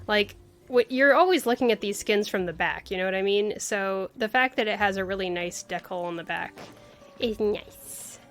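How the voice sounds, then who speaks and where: A woman's voice: mid-range voice, moderate pace, fairly bright tone. A woman streamer giving casual live game commentary, thinking aloud in short questions and asides. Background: faint game music.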